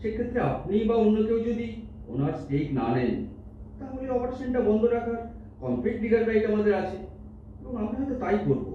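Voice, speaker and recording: A firm, formal hospital official, acted by a man on stage. The voice is high with lively swings. It sounds distant in a reverberant hall. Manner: formal, measured, mixes in English. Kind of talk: staged Bengali audio drama acting. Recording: echoey hall, distant mic, noisy, dull.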